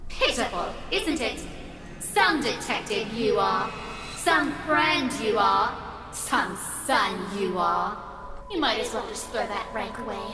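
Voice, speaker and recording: A woman's voice: mid-range voice, animated, slow. A woman voicing a cruel monster in a visual novel game that mimics a friend to sneer and mock. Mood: cold contempt. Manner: sneering, mocking. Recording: echoey, distant, under loud music.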